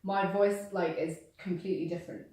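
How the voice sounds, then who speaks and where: A man's voice: sits high, steady tone, brisk, a little mellow. A man in a sales workshop asking questions and giving short agreeing replies. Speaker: trainee salesperson. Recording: echoey room, mic far off.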